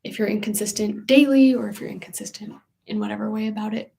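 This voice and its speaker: Woman in her twenties with a creaky voice. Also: mid-range voice, steady tone, fast, warm tone. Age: 20s. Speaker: co-host. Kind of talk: live Q&A, casual chat.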